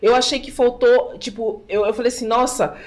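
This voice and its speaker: A woman's voice: on the high side, steady tone, normal speed, a little mellow. A woman co-hosting a podcast in a casual chat, talkative, with frequent restarts and tags like "né". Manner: chatty, restarts a lot, leans on "né". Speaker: podcast co-host.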